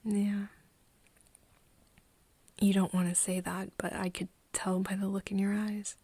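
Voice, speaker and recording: A woman playing a nervous, tender young friend of a vampire in an ASMR roleplay, speaking softly and hesitantly, often slipping into a whisper. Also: medium pitch, flat delivery, no echo. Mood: hesitant, anxious. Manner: hesitant, halting, breathy whispering mixed with soft speech. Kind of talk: ASMR roleplay, intimate one-sided dialogue to listener.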